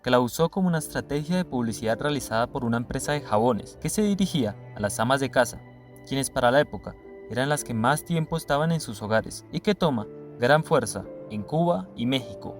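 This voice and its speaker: A man's voice: mid-range voice, lively intonation, brisk, faint music behind. A man reading a scripted documentary narration.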